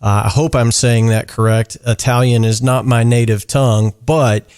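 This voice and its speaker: A man's voice: mid-range voice, fairly even intonation, moderate pace, darkish tone, smooth. Laid-back man hosting a podcast. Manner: easygoing, lots of fillers and restarts. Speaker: podcast host. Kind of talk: casual interview chat.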